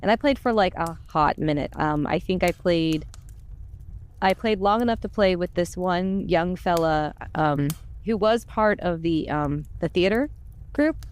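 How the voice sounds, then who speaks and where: A woman's voice: on the low side, expressive, unhurried, clean tone. A woman in her forties with an American accent tells personal stories in a casual, chatty way, as a voice actor on a podcast. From United States. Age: 40s. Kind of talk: casual conversational storytelling.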